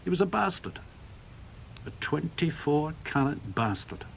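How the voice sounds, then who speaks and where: A man's voice: fairly high pitch, very animated, measured pace, vocal fry, a little hiss or hum, exaggerated voice. An actor playing a bitter, blunt veteran British intelligence man in a radio drama, with an emphatic, scornful manner.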